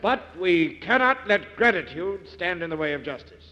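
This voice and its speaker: A solemn courtroom judge in 1940s radio style, formal and declamatory. The voice is high and forceful, ringing with long reverb. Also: male voice, big pitch swings, moderate pace, exaggerated voice. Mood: stern, solemn. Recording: hollow reverberant old radio recording, dull.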